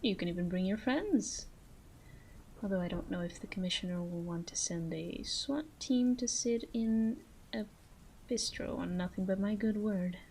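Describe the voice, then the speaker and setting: A woman's voice: mid-range voice, darkish tone, a little hiss or hum, natural voice, poor audio. A woman playing a flirty, self-assured female mafia boss in a roleplay audio drama, coy and teasing as she speaks straight to the listener. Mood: playful teasing. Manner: coy, teasing, drawn-out phrasing with breathy asides.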